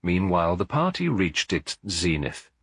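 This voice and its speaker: A man reading a cozy mystery story as a scripted audiobook, in a measured, literary storytelling manner. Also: sits low, sing-song, fairly bright tone, slightly rough, dry recording. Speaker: narrator.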